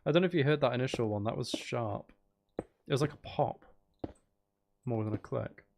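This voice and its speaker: A man's voice: mid-range voice, moderate pace, vocal fry, a little mellow. A man giving casual livestream commentary on a game, thinking aloud to his viewers in a musing way. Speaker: game streamer.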